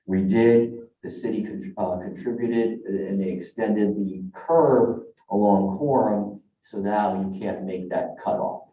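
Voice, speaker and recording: A man presenting a project to a zoning board in a halting, informal way with many fillers. He is tired and losing his voice. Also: on the low side. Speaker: developer's representative presenting a project. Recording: dull, echoey council chamber audio, mic at a distance.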